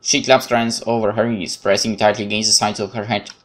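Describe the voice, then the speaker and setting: A man hosting a let's play, reading narration aloud in a measured, dramatic way with casual commentary. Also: on the low side, little pitch movement, a bit bright.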